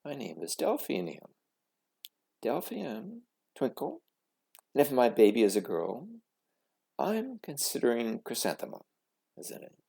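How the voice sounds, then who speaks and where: A gentle storybook music teacher, a woman, acted out by a man. She speaks with measured, knowing reassurance, swinging widely in pitch over a mellow, breathy tone. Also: wildly swooping pitch, heavily acted. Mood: gently reassuring.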